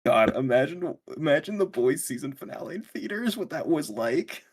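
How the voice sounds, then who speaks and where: A man's voice: on the high side, animated, normal speed, slightly rough. Wry man trading skeptical quips. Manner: wry, skeptical quips, laughs mid-sentence. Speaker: podcast co-host. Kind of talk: casual chat.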